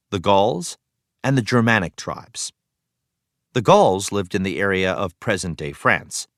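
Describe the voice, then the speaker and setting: A man reading an educational text aloud as a teacher, in an explanatory way with clear phrasing for young learners. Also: sits low, no room sound, studio quality. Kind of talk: read-aloud educational narration.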